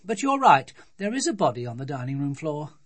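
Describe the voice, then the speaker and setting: An adult storybook reader voicing a brisk, sensible, unfazed mother. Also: medium pitch, sing-song. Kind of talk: children's storybook reading.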